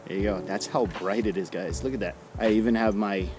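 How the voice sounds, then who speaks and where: A man's voice: lowish voice, brisk, music in the background. A man hosting a vlog in casual, laid-back, slangy chat with an upbeat manner. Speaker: vlog host.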